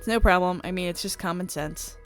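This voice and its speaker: A woman's voice: lowish voice, brisk, fairly bright tone, natural voice. A woman voicing a prickly female idol superfan in a visual novel, blunt, indignant and sceptical. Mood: grudging, snippy. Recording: music playing behind the voice.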